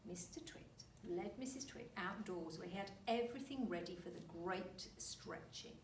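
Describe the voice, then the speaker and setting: A woman reading a children's story aloud like a teacher, expressive and deliberate. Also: fairly low pitch. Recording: roomy, distant mic, faint noise.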